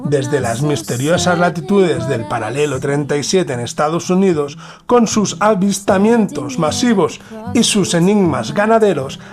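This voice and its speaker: A man from narrates a scripted mystery documentary in a solemn, dramatic manner with deliberate pauses. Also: sits high. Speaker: podcast host narrator.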